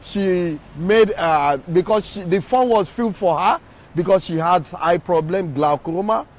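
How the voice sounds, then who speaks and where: A man being interviewed outdoors, explaining slowly with frequent pauses and fillers. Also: high voice. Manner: hesitant, many pauses and fillers. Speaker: interview guest. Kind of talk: street interview answers. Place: outdoors on a city street outside a court. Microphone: handheld mic from the streamer.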